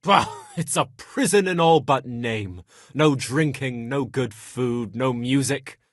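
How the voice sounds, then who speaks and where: Dry, close game dialogue from a lusty young nobleman with a mid-pitched voice. He is dramatic and full of self-pity. His talk is colloquial and crude, always complaining about his lot. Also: takes it slowly, dry recording. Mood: bitter, wretched. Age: young adult. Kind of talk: video game character dialogue.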